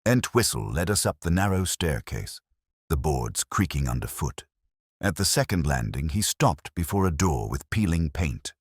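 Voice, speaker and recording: A man's voice: a bass voice, sing-song, moderate pace, slightly rough, no room sound, clean studio sound. A man reading scripted first-person detective fiction as an audiobook narrator.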